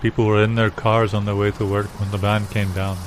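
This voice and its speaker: A man playing a terse, deadpan small-town local who solemnly confirms absurd things in an improvised comedy sketch. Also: fairly low pitch, brisk, background noise, close to an everyday voice.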